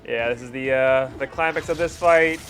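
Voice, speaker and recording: A man's voice: mid-range voice, normal speed, a bit bright, soft background music. A man hosting a reaction video, giving casual, slangy fan commentary on fight scenes. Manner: informal slangy fan talk.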